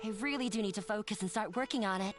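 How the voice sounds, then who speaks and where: A woman's voice: medium pitch, fast, close to an everyday voice. An actress playing a shy, self-doubting young woman who talks nervously and hesitantly, over game music. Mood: flustered.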